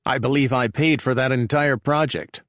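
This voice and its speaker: A man's voice: medium pitch, fairly even intonation, moderate pace, a bit bright, no echo, studio quality, voice pushed up, lightly acted. A man voicing a blunt, boastful, wealthy American man in his sixties for an audiobook. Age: 60s. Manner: blunt, crass.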